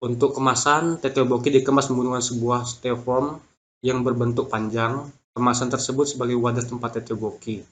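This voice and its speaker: A man's voice: mid-range voice, fairly even intonation, normal speed, a bit bright. A young man reading a prepared business presentation, stumbling on words and restarting them.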